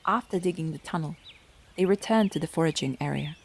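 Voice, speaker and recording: A woman's voice: low voice, brisk. A woman narrating an animal tale as a scripted storyteller in an audio drama.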